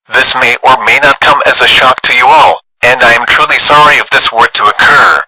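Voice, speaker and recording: A man playing a grave space agency official, giving a formal, measured emergency announcement. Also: mid-range voice, steady tone, a crowd in the background, lightly acted, low-quality recording. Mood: solemn. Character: grave male space agency official delivering a solemn televised warning.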